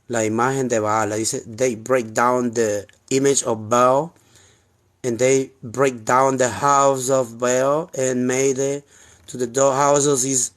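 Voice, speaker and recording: A man with a Honduran Spanish accent teaching the Bible in an informal, explanatory way, repeating key words and asking rhetorical questions. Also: medium pitch. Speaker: preacher teaching scripture.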